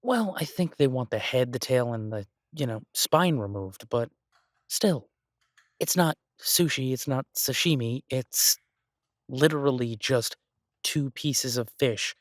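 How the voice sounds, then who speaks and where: An exasperated restaurant chef played by a man grumbles to his partner in a boyfriend audio roleplay. His mid-pitched voice is halting and conversational, recorded dry with no room sound. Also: lively intonation, no room sound, natural voice. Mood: incredulous.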